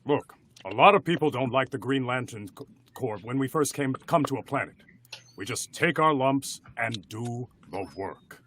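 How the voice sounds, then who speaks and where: An actor playing a steady, principled male superhero in an animated script reading. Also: mid-range voice, moderate pace, slight background noise. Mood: resolute.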